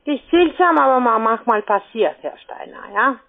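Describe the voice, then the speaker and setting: Woman cold caller, kinda high voice, pushy sales talk, thin phone sound. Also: lively intonation, normal speed. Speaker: cold-calling telephone sales agent. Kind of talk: sales pitch on a phone call. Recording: tinny, narrow-band phone line.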